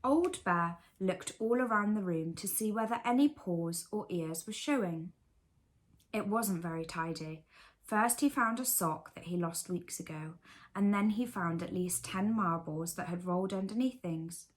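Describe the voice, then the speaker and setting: A woman's voice: mid-range voice, a little slow. A woman reading a scripted children's bedtime story aloud as the storyteller.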